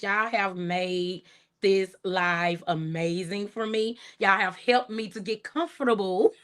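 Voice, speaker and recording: A woman hosting her first livestream, chatting casually and warmly, thanking viewers by name and laughing often. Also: medium pitch. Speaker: livestream host. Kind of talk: casual livestream chat reading comments.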